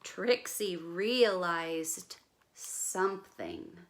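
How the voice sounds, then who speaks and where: A woman's voice: on the low side, drawn-out words. A woman reading a picture book aloud to young children like a teacher, expressively, with explanatory asides. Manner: careful, expressive storytelling with gentle questions to listeners.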